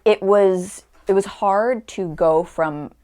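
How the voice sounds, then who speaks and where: A woman's voice: medium pitch, slow pace, a little mellow. A woman in her twenties, a guest actor talking casually on a podcast, chatty and full of restarts and fillers. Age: 20s. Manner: chatty, trailing restarts and many likes.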